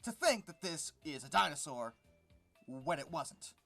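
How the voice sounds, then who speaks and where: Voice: medium pitch, big pitch swings, measured pace, creaky, fairly bright tone. Exasperated commentator, music behind. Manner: exasperated, sardonic asides. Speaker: YouTube host. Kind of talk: reaction commentary, reading an article and critiquing it.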